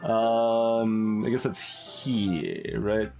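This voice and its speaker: Slow-paced man musing over game music. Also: fairly low pitch, mellow. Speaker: streamer, let's play host. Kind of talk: casual live game commentary, thinking aloud.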